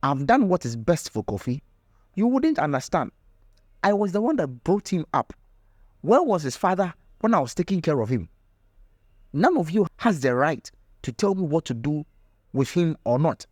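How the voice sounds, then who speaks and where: A man's voice: a little high, very animated, moderate pace, no room sound, studio quality. A man voicing a possessive, desperate village grandmother in a folktale, insistent and defensive as she speaks. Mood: defiant.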